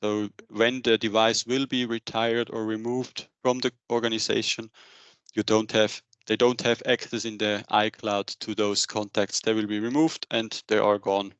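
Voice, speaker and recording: A man in his thirties giving a semi-scripted business webinar presentation into a headset, with a halting delivery. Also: lowish voice, little pitch movement, fairly bright tone, clear, resonant tone, no room sound, highs cut off. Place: indoor room, on a video call.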